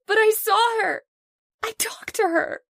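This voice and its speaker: An actress playing a frightened, pleading wife in an old-time radio horror play, anxious and breathless. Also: female voice, extremely high pitch, a little slow, vocal fry, fairly bright tone, dry recording, studio quality, full character voice. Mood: terrified, pleading.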